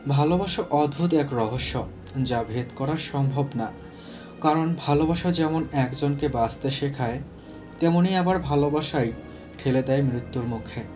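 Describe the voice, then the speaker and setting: A man's voice: fairly high pitch, moderate pace. Male narrator of romantic stories over music. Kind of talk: scripted romantic story narration.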